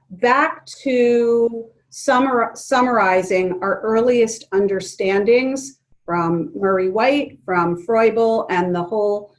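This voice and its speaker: A woman leading an online workshop for educators, speaking calmly and gently with frequent ums. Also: on the high side. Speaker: presenter leading an educators' forum. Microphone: video call.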